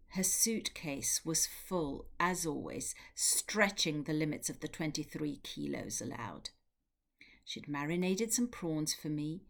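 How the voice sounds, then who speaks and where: Low-voiced woman reading literary prose. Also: vocal fry. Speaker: literary translator reading from a novel. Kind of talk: audiobook-style narrated prose reading.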